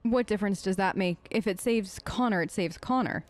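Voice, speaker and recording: A woman's voice: medium pitch, brisk. A woman playing through a role-play game, voicing her choices in a casual, chatty and informal way. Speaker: let's play streamer.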